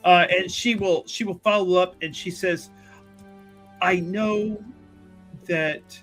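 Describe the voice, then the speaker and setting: Higher-voiced man playing a tabletop game. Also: poor audio. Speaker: tabletop roleplaying player. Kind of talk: casual game chat, describing a character's actions.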